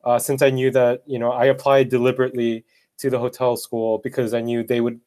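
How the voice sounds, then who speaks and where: A young man in his twenties from South Korea, speaking in a measured way with fillers in a casual conversation. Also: medium pitch, monotone, warm tone. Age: 20s. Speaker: guest.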